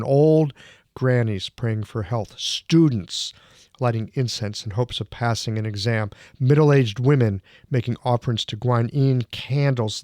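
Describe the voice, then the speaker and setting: A man's voice: mid-range voice, a little mellow, no echo, studio quality. A man hosting a podcast, telling a reflective story in a wry, scripted narration. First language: English. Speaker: podcast host.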